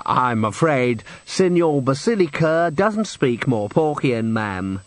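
A man's voice: mid-range voice, sing-song, slow pace, no echo. A man voicing a prim, formal, thin little opera manager in an audiobook. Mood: polite.

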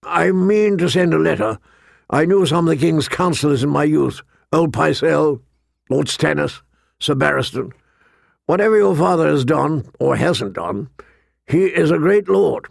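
Guy doing a gruff old military lord for an audiobook, rumbly and creaky, grumbles his orders. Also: lively intonation, moderate pace, no room sound, clean studio sound, full character voice. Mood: grave, measured. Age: older. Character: gruff old Lord Commander, grumbling, weary, commanding man.

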